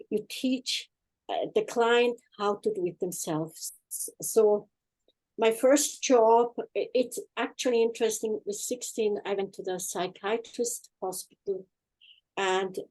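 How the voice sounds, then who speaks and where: Older Swiss-accented woman, halting delivery. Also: fairly high pitch, darkish tone, rough recording. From Switzerland. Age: 60s or older. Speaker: guest, healing practitioner and former nurse. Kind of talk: personal life story in an online interview. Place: online video chat.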